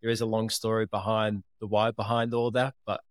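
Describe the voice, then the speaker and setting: A man's voice: lowish voice, little pitch movement, brisk, clear, resonant tone, dry recording, clean studio sound. A man in his twenties from England, with a Liverpool accent after years in Australia, earnestly telling his story as a podcast guest. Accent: Liverpool, living in Australia. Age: 20s. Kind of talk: casual interview talk.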